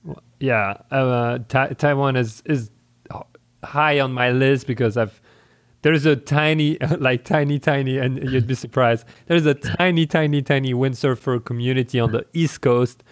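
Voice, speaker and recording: A man's voice: medium pitch, fairly even intonation, normal speed. A man hosting a casual interview, friendly and rambling, often restarting his words. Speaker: podcast host.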